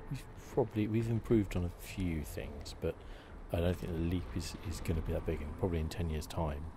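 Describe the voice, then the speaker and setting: A man hosting an ASMR gaming video, giving hushed, relaxed, conversational commentary. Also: deep voice, fairly quick. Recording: game sounds noisy behind voice. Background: video game audio.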